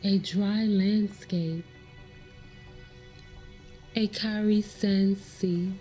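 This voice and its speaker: A woman leading a guided meditation in a measured way, pausing between short phrases. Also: medium pitch, fairly even intonation, dark tone, faint music behind. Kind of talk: slow guided meditation narration.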